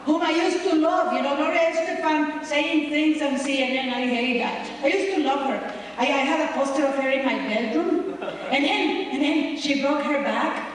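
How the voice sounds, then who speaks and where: A woman's voice: high-pitched, moderate pace, slight background noise. A woman from Nicaragua with a Nicaraguan Spanish accent, performing a brash, teasing stand-up comedy set in front of a live audience. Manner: brash, teasing, confessional punchlines. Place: large hall with live audience. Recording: distant, echoey home VHS tape of a live hall.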